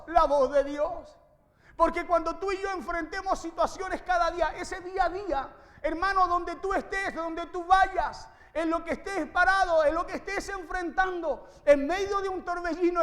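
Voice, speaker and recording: A man's voice: very high-pitched, sharp tone. A man preaching an impassioned sermon to a congregation, exhorting listeners and repeating phrases for emphasis. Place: large reverberant room, preaching to a congregation. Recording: reverberant hall sound.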